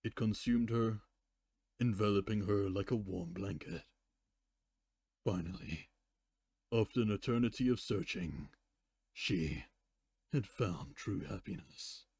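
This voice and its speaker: A man's voice: on the low side, slow pace, gravelly, pauses often, a little mellow, breathy edge. A man who streams, reading fiction dramatically and bantering casually with teasing, foul-mouthed talk.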